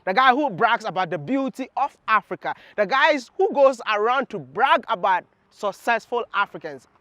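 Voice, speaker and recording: A man's voice: high voice, expressive, normal speed, bright, forceful tone, dry recording. A man hosting a travel vlog and narrating with proud, enthusiastic energy. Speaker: travel vlogger and host.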